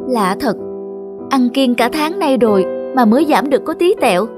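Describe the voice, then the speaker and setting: A woman voicing an insecure, self-critical young bride-to-be who frets about her weight, in animated cartoon dialogue. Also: sits high, normal speed. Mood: glum. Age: young adult. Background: music.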